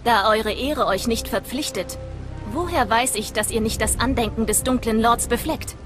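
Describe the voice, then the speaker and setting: A woman's voice: a little high, moderate pace, music in the background, lightly acted. A woman playing a proud, honour-bound mercenary commander in a video game, firm and formal. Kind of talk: video game cutscene acting.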